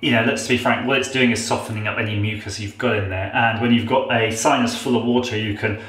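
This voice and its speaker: A man's voice: on the low side, steady tone, fast, fairly bright tone. A nasal-voiced man in his thirties talks loosely and jokes at his own expense as he demonstrates something in a small tiled bathroom. His voice bounces off the hard walls, and a tap runs behind him. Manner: chatty, self-mocking, rambling asides. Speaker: vlogger. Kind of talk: casual how-to demo. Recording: echoey bathroom. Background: running tap water.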